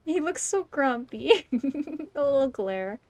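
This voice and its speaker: High-voiced woman casually streaming a game. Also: animated, slow, darkish tone, no echo. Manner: amused, teasing. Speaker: livestreamer playing a game.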